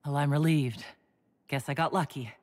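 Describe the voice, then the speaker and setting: An actress plays a tall, horned female hero of a fantasy video game. She is composed and speaks plainly and in a measured way. A gentle wryness runs through her lines. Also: sits low, slight background noise, lightly acted. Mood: warm, relieved.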